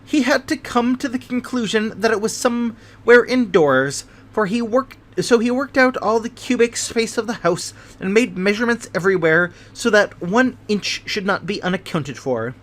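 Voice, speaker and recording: A man voicing an excitable, fragile hypochondriac gentleman who chatters eagerly in a high voice, in a storybook reading. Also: moderate pace, few pauses. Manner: high voice rising above the noise, eager chatter.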